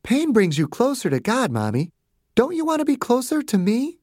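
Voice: mid-range voice, wildly swooping pitch, moderate pace, a little mellow, long reverb tail, voice pushed up. A narrator voicing a bossy, cruel little girl who gives orders in a childish, spoiled way, in an audiobook story. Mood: sweetly dismissive.

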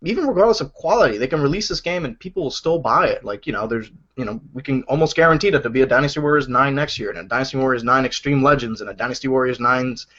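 A man talks very fast on a podcast, rambling through his views with many "likes" and restarts. His voice sits at a middling pitch. The audio is dull and lo-fi. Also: expressive, a bit bright. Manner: rambling, lots of fillers and restarts, laughs easily. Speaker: podcast co-host, game reviewer. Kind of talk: casual chat.

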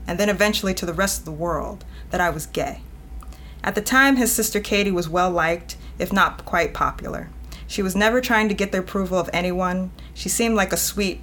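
A woman's voice: on the low side, a little hiss or hum. A woman editor on a podcast, talking conversationally and reading a passage aloud. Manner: conversational, laughs often, expressive. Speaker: editor and guest.

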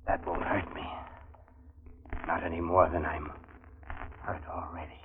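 An actor playing a grimly resigned, vengeful dying man in a 1940s radio drama, speaking slowly and deliberately. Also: sits low, little pitch movement, in a large hall. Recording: muffled noisy 1940s radio recording.

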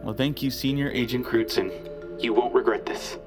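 An actor plays a nervous, earnest junior agent in an audio drama. He is a man with a medium-pitched voice who hesitates, stammers and defers. Music runs clearly behind him. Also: normal speed, close to an everyday voice. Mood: uneasy, submissive. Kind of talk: scripted audio drama acting.

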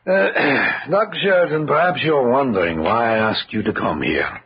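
An actor playing an elderly, exacting scientist in an old-time radio drama, formal and deliberate, with hesitant phrasing. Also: male voice, mid-range voice, animated, slight background noise, close to an everyday voice. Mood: courteous. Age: older. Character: elderly, exacting scientist and father, courteous but intense. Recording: dull vintage radio recording.

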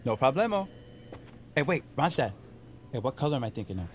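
An actor playing a chatty, eager dispatcher coworker in an audio drama, breezy and teasing. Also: male voice, mid-range voice, big pitch swings, brisk, natural voice.

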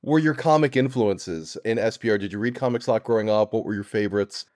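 A man's voice: on the low side, quick pace, darkish tone, no echo, clean studio sound. A man hosting a conversational podcast interview, amused and encouraging as he puts his questions.

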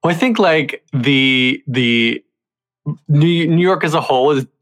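A man's voice: fairly high pitch, expressive, measured pace, fairly bright tone. A man hosting a podcast in a casual, chatty interview style with some banter. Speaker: podcast host.

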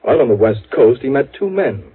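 An actor playing an anxious, proper insurance executive in a 1950s radio drama, earnest, hesitant and formal. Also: male voice, mid-range voice, very animated, fairly quick, breathy edge. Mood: earnest explaining. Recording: tinny vintage radio, phone-like band.

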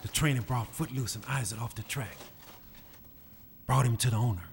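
A man's voice: medium pitch, normal speed, vocal fry, slight background noise. A man narrating a scripted story in a dramatic style. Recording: reverberant, faint music behind.

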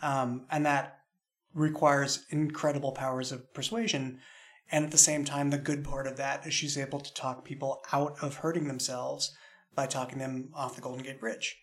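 A man's voice: mid-range voice, little pitch movement, moderate pace, fairly bright tone. A man speaking as an audio drama creator in a roundtable interview, explaining things in a reflective, discursive way.

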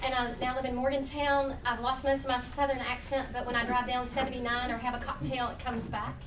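A woman's voice: sits high, flat delivery, brisk, a bit bright. An American woman humorist reading her writing live to an audience, with folksy, playful storytelling and chatty asides. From Huntington, West Virginia, United States. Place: indoor live reading event. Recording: echoey room, distant mic, faint noise.

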